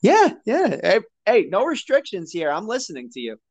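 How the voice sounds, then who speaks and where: A man's voice: sits high, huge pitch swings, normal speed, darkish tone. A male podcast co-host who jokes around and chimes in to agree. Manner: joking, affirming. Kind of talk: casual podcast banter.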